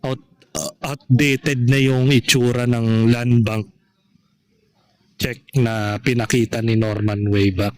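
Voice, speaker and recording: A man speaks as a vlogger commenting on gossip for his followers. His pitch sits in the middle and his pace is slow. He moves haltingly, circling back over points and checking in with short rhetorical questions. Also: level tone, darkish tone.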